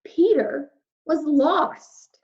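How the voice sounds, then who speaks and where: A woman reading a picture book aloud to young children, expressively, with simple explanations along the way. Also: high-pitched. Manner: slow, simple explaining addressed to young listeners. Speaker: read-aloud storyteller, teacher of young children. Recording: roomy echo, slightly dull sound.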